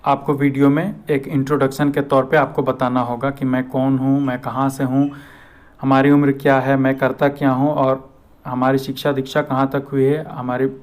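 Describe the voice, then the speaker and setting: Man, Indian accent, mid-range pitch, video host, informal instructional explainer, step-by-step delivery. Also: clear, resonant tone. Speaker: video host giving audition tips.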